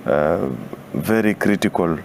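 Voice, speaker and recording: A man from Kenya with a very deep voice talks as a guest, halting often with uh and filling pauses. A faint hum of room ambience sits behind him. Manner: hesitant, many uh fillers, soft laughs. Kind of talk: conversational interview.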